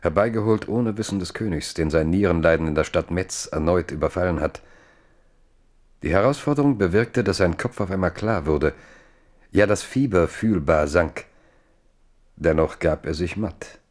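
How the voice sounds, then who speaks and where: A man's voice: low-pitched, normal speed, darkish tone. A man narrating a German radio play in a scripted, literary style.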